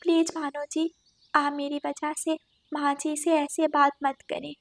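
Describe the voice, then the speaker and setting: A woman voicing a gentle, devoted sparrow wife and mother with a polite, pleading manner in a cartoon story. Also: high voice, little pitch movement, a little slow.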